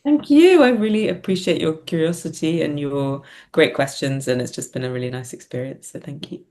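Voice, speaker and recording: A woman's voice: low voice, fairly quick, a little mellow. A woman reflecting as an interview guest, speaking hesitantly and often searching for words. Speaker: podcast guest, theatre writer and performer.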